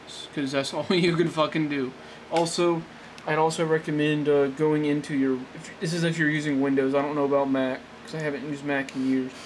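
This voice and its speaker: Male amateur tutorial host, slightly high register, hesitant and self-correcting, casual delivery, faint noise on a handheld camera recording. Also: level tone. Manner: halting, rambling, self-correcting. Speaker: amateur tech tutorial presenter. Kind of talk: casual unscripted how-to demonstration. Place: small indoor room at a desk. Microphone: handheld camera, off-screen close behind it.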